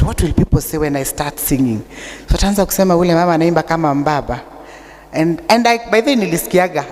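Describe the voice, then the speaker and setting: A Kenyan woman with an unusually deep voice, preaching a sermon that mixes English and Swahili, telling stories with humor. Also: darkish tone. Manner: chatty storytelling with humor. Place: church. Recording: long church reverb.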